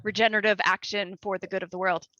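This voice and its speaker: Canadian woman on a video call, bright sharp voice, passionate, kinda lo-fi sound. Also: medium pitch, little pitch movement, fast, dry recording. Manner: passionate, emphatic, repeats words when starting. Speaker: guest speaker. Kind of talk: earnest conference Q&A answer. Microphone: remote video call.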